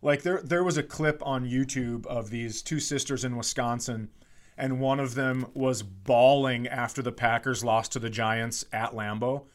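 A man's voice: mid-range voice, fairly even intonation, unhurried. A man co-hosting a podcast, telling stories in a casual, blunt and sardonic comic manner. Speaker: podcast co-host.

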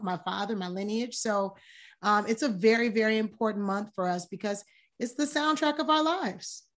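Woman on a talk panel, earnest and thoughtful, mid-pitched voice. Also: a bit bright. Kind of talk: casual panel chat.

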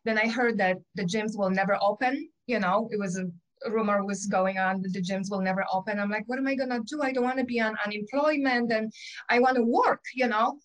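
A woman's voice: mid-range voice, fairly quick, poor audio. A middle-aged woman with a Polish accent, a gym owner telling her story casually in an interview, with many fillers and restarts. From Poland. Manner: chatty, many fillers and restarts, quotes herself. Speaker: gym owner and personal trainer.

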